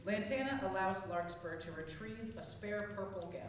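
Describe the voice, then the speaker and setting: A woman's voice: mid-range voice, expressive, normal speed, recorded from a distance. A woman reading stage directions aloud as scripted narration for a play. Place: theatre space, distant microphone. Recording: echoey room from afar, hissy noise.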